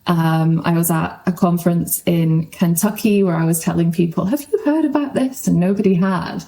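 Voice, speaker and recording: A woman's voice: lowish voice, moderate pace, gravelly, warm tone. A woman speaking as a guest journalist in a conversational podcast interview.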